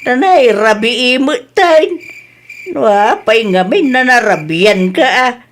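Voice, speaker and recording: An actress as a high-pitched Ilocano village wife and mother, chatty and easygoing. She talks in a radio comedy drama style over a noisy track with chirping insects. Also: expressive, slow pace. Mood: scolding, insistent.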